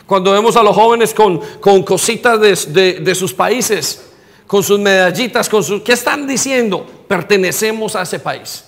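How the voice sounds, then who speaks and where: High-voiced Colombian pastor preaching. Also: male voice, a little slow. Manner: preaching, rising to near shouts, direct questions to listeners. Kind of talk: sermon. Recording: long hall reverb.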